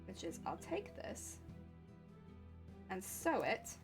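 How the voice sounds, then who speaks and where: A woman's voice: medium pitch, big pitch swings, slow pace, gravelly, over music. A woman chatting casually on a livestream, explaining her sewing steps as she works and laughing often. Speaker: cosplay crafting streamer.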